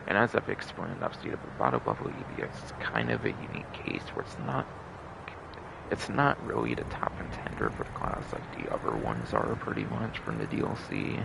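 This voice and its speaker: A man with a gravelly voice giving rambling, repetitive game commentary as a livestreamer. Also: rumbling low, huge pitch swings, brisk, noisy background. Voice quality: gravelly, creaky. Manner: repetitive, circling back over phrases.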